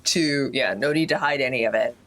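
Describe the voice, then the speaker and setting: A woman playing a tabletop role-playing game, chatting casually and thinking aloud in an animated way. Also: extremely low pitch, brisk.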